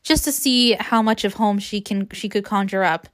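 A woman's voice: medium pitch, moderate pace, natural voice. Woman reading a businesslike male rebel official. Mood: explaining.

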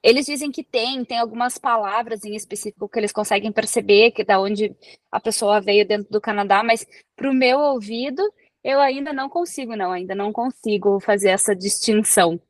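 A woman from Brazil with a Brazilian Portuguese accent, a researcher talking as a guest in a relaxed interview and explaining with everyday examples. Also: medium pitch, fairly quick, no room sound. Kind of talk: casual interview conversation.

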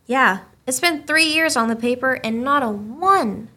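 A woman's voice: sits high, animated, unhurried, pushed and bright, full character voice. A woman playing an earnest, inquisitive small-town newspaper reporter in a radio play, in a conversational style. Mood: wry, wistful.

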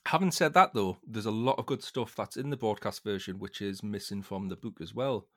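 Low-voiced man, thoughtful and halting. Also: fairly bright tone. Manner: hesitant, thoughtful, halting phrasing. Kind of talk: casual book discussion.

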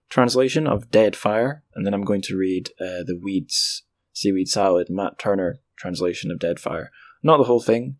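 Male podcast host, low pitch, dry close sound, conversational and reading delivery. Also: no room sound.

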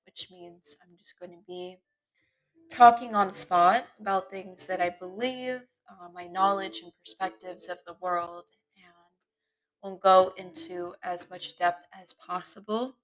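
A woman hosting her own podcast, talking off the cuff in a candid, rambling way, her voice sometimes smooth and sometimes raspy. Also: fairly low pitch, steady tone, a little slow, poor audio. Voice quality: voice shifts between smooth and raspy. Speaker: podcast host. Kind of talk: unscripted solo podcast monologue. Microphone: computer mic in web browser.

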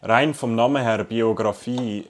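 A man's voice: medium pitch, unhurried. A young man with a Swiss German accent, co-hosting a casual chat podcast and explaining things step by step. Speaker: podcast co-host.

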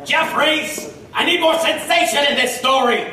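A man's voice: extremely high pitch, moderate pace, bright, forceful tone, exaggerated voice. A man playing a demanding, impatient newspaper editor in an audio drama, with a brash delivery. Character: demanding, sensation-hungry male Victorian newspaper editor. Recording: echoey, distant, faint noise.

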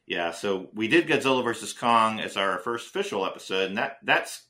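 A man's voice: fairly low pitch, expressive, brisk, bright, forceful tone. A man co-hosting a casual film podcast, rambling and chatty with lots of restarts and fillers. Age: older than his co-host. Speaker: podcast co-host.

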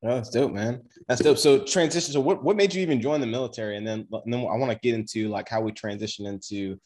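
A man co-hosting a podcast, opening the show in an upbeat, energetic way and asking the guest questions. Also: medium pitch, quick pace. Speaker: podcast co-host. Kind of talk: podcast intro and interview.

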